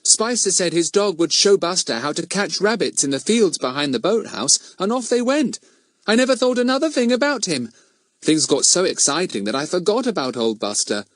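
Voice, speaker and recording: A practical schoolboy pal acted by a man chats briskly in a raised, lively voice. He is matter-of-fact, a chum in a children's storybook. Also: high-pitched, no room sound, studio quality, pitched above the performer's own voice.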